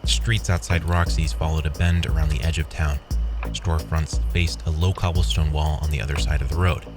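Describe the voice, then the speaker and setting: Low male voice, horror story narrator, scripted first-person delivery, clear music bed behind. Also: little pitch movement, a little fast.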